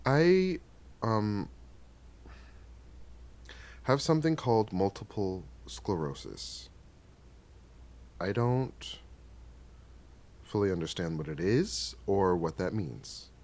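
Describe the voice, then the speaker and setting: A man acting a gentle, bookish middle-aged father in a stage play, explaining things patiently and tenderly, sometimes haltingly. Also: on the low side, big pitch swings, full character voice. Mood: tender, reassuring.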